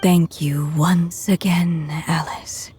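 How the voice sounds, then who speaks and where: A woman playing a dying, motherly storyteller in a video game, speaking softly and solemnly with a tender, sometimes breathy delivery. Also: fairly low pitch, steady tone, takes it slowly. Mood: weak, wistful. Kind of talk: dramatic video game voice acting. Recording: loud music behind the voice. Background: loud music.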